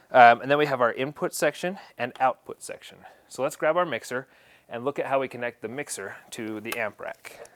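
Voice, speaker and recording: A man's voice: medium pitch, a bit bright, no echo. A man presenting an instructional equipment setup tutorial, explaining each step in a plain, practical way.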